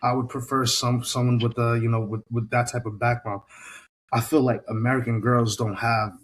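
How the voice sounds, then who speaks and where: A man chatting casually on a podcast, halting and repeating phrases, with slangy talk. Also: mid-range voice, level tone, a little hiss or hum.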